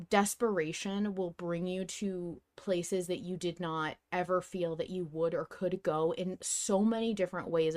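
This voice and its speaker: A woman in her thirties hosting a casual fan discussion podcast, talking in an animated, emphatic way and swearing casually. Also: lowish voice. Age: 30s. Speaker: podcast host.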